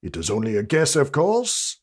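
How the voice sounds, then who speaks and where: A man playing a pompous, theatrically menacing French police inspector in a radio drama, with deliberate delivery and a French accent. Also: mid-range voice, wildly swooping pitch, breathy edge. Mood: drily menacing. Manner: pompous, deliberate, mock-ominous.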